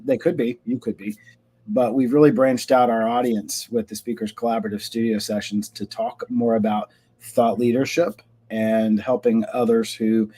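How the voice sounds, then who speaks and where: A man hosts a live-streamed interview from home in a mid-pitched, ordinary voice. He talks in a chatty, upbeat way, with the odd "um" as he sets up his questions. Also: dark tone, slightly rough. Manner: upbeat, chatty, some fillers. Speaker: host. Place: home, lawn crew outside.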